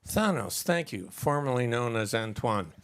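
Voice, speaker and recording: A man's voice: mid-range voice, expressive, normal speed, fairly bright tone, clean tone. A man moderating a live panel discussion, introducing the format and the speakers.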